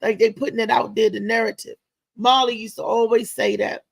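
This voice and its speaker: Casting: female comedian-host, high voice, sassy and slangy, casual morning-show banter. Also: steady tone, fairly quick, creaky. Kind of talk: casual live morning show chat, ranting.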